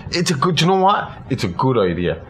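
A man's voice: medium pitch, very animated, fairly quick, fairly bright tone, a little hiss or hum. A man co-hosting a podcast, chatting casually about films and stories in a rambling, sweary, opinionated way. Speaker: podcast co-host. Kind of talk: casual film and story chat.

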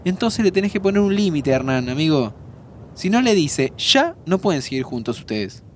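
An actor playing a young time traveller, a loyal and frank friend, with a Rioplatense Argentine accent and informal, expressive talk in an audio drama. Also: male voice, a little high, moderate pace, slight background noise. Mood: frank, supportive. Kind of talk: naturalistic audio drama acting.

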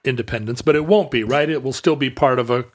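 A man's voice: medium pitch, fast. Dry-humored man co-hosting a chat. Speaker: podcast co-host. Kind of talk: casual chat with mock-formal outro.

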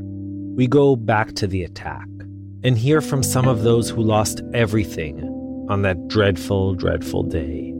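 Solemn low-voiced male podcast host. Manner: measured, solemn delivery. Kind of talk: scripted show introduction. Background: guitar music.

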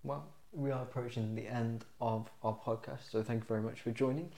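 A man's voice: mid-range voice, steady tone, brisk, vocal fry, warm tone. A man co-hosting a faith podcast, earnest and encouraging, closing with a spoken prayer. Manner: earnest, encouraging, repeats "just" and "Lord" in prayer. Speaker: podcast co-host. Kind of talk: casual chat and closing prayer.

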